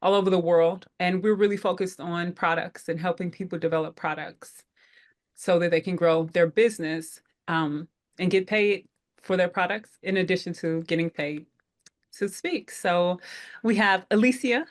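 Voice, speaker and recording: A woman's voice: fairly low pitch, normal speed. A woman hosting an online group call, welcoming people in an upbeat way with frequent fillers. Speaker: head of operations, meeting host.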